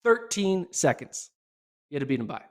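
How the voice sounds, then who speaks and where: Excitable man losing his voice. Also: a little high, moderate pace. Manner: excitable, chatty, many fillers. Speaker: podcast host. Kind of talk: casual solo podcast recap.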